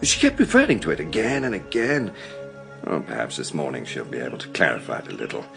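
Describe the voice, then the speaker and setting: Creaky-voiced, courteous British doctor. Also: male voice, extremely low pitch, wildly swooping pitch, fairly bright tone, soft background music, full character voice. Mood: puzzled, thoughtful. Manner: polite, clinical, measured questioning. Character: courteous, matter-of-fact male family doctor, quietly puzzled. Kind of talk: radio drama acting.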